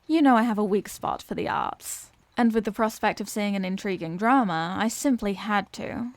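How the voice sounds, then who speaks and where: A woman's voice: medium pitch, moderate pace, dry recording, close to an everyday voice. A woman playing a refined, courteous Victorian vampire lady in an audio roleplay, speaking in formal, old-fashioned phrases. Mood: gracious, inviting. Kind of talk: ASMR audio roleplay, scripted character acting.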